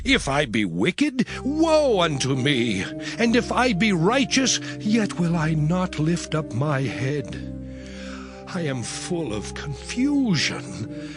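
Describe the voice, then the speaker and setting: A man's voice: a little high, very animated, takes it slowly. An actor playing an afflicted, righteous man in a dramatized scripture reading, lamenting and pleading with God in solemn, archaic phrasing. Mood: anguished pleading. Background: music.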